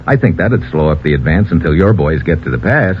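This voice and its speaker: An actor playing a tough American adventure hero in old-time radio style, with clipped, slangy, wisecracking delivery and an American accent. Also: male voice, rumbling low, lively intonation, a little fast, husky, heavily acted. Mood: wry, relieved. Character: tough, quick-thinking American hero, wry and commanding. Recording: noisy, muffled old radio recording.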